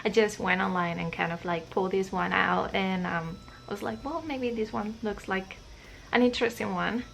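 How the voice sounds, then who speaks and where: A woman's voice: mid-range voice, normal speed, gravelly, fairly bright tone, a little hiss or hum, poor audio. A young woman from Spain with a Spanish accent, chatting shyly and hesitantly to camera, with many fillers. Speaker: vlogger. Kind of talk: casual chat to camera.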